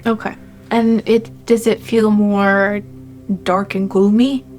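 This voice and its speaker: Woman, tabletop game player, casual chat, tentative questioning, mid-range pitch, clear background music. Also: flat delivery, takes it slowly, a little mellow.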